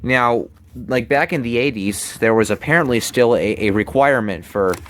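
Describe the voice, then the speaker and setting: A young man giving a casual show-and-tell, talking haltingly and often repeating his words. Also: medium pitch, a little hiss or hum. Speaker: hobbyist presenter. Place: bedroom, indoors. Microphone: handheld camera, close behind it.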